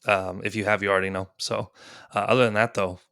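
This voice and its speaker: A man's voice: lowish voice, level tone, fast talker, gravelly, pushed and bright, no echo, clean studio sound. A man in his thirties hosting a casual gaming podcast, rambling with lots of fillers and easy laughs. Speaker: podcast host.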